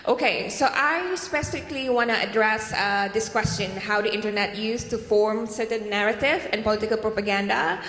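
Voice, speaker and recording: A woman from Malaysia speaks on a conference panel, pausing often and reaching for words. Her mid-pitched voice rings out through a large echoey hall with a long tail of reverb. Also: fairly bright tone. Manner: halting, frequent fillers and "what we call it". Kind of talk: panel presentation.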